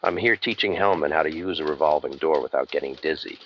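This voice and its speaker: Man as a deep-voiced deadpan hardboiled detective. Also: fast talker, highs cut off, a little hiss or hum. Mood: sardonic, curt. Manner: deadpan, sardonic. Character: cynical wisecracking male hardboiled detective. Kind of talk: old-time radio noir acting.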